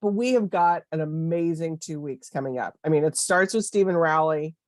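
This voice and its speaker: A woman's voice: low voice, moderate pace, dry recording, studio quality. A woman from California hosting a casual book-recommendation chat, chatty and teasing, laughing often. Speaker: bookstore host.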